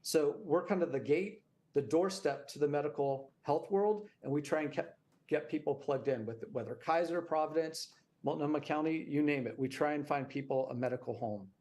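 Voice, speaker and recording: A man's voice: fairly high pitch, moderate pace, a little mellow. An American man speaking as a nonprofit representative, explaining the work in an interview.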